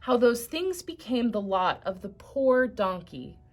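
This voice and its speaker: Woman doing a calm, patient storyteller man. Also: a little high, measured pace. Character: patient gentle male storyteller.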